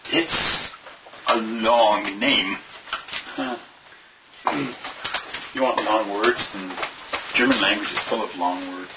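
A man's voice: on the low side, slow pace, pauses often. A man playing in a tabletop game, chatting and joking casually with the group. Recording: very noisy, muffled, distant microphone.

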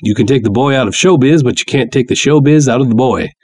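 A man's voice: mid-range voice, quick pace, a little mellow, breathy edge, dry recording, pitched above the performer's own voice, close to an everyday voice. A man voicing a brash, jovial, sly showman promoter with folksy salesman patter in a pulp story reading. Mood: glib. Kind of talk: dramatic reading of pulp fiction.

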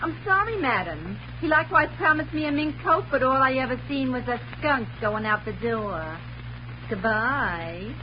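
A woman's voice: sits high, lively intonation, moderate pace. An actress playing a flirtatious, gossipy switchboard operator in a 1940s radio drama, with a sassy, chatty, colloquial manner. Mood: brisk, sassy. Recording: muffled old radio recording, faint hiss.

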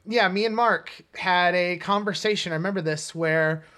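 A man talks casually as a podcast co-host about pop culture. His delivery wanders and halts, circling back as he explains things. The pitch sits in a middle range. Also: normal speed, sharp tone. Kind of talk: casual comics and film chat.

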